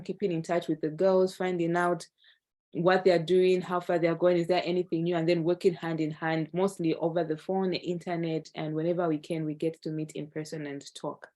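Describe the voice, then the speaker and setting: Female youth mentor from southern Africa; mellow, flat intonation, steady short phrases. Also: fairly low pitch, fairly quick. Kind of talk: spoken report on a conference call. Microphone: online conference call.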